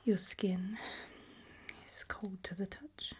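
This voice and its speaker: A British woman doing an ASMR roleplay as a doctor, whispering gently and breathily, with hesitant pauses. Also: medium pitch, fairly even intonation.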